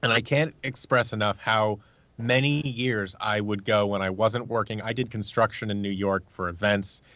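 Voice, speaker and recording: A man's voice: lowish voice, fairly even intonation, moderate pace, pushed and bright, no room sound. A man giving casual, earnest advice through anecdotes as a podcast guest, acting out the remarks he quotes. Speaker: podcast guest, actor and producer. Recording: dull lo-fi audio.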